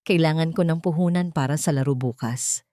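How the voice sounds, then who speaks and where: A woman's voice: low voice, brisk, dark tone, dry recording, studio quality, natural voice. Haughty, scornful old matriarch, voiced by a woman. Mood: smug, conspiring. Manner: mocking, commanding. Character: haughty, greedy, scornful old mother-in-law.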